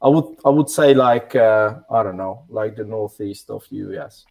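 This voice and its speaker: Low, mellow man. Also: vocal fry. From Hungary. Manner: measured, thoughtful, hesitant fillers. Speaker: podcast guest, overland traveler. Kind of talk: conversational interview answers.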